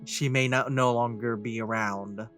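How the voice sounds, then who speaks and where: A man's voice: medium pitch, steady tone, unhurried, fairly bright tone. A man narrates a let's play in an informal, reactive way. Faint game music plays behind his commentary. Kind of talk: casual gameplay commentary, reading along.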